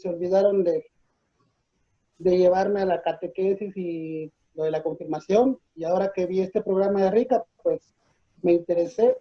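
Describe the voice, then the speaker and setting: A man's voice: high voice, lo-fi sound. A man from Guatemala giving an informal self-introduction as a class participant.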